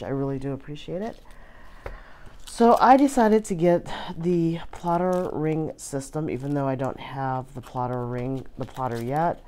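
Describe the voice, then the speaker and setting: A hoarse woman chatting casually through an unboxing, with many filler words. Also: deep voice, mellow, a little hiss or hum. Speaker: vlogger.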